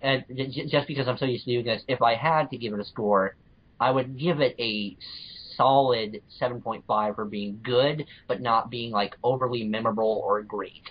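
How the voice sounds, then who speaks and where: Mid-pitched man reviewing earnestly, dull lo-fi audio. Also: normal speed. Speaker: podcast co-host and reviewer. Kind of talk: casual review chat.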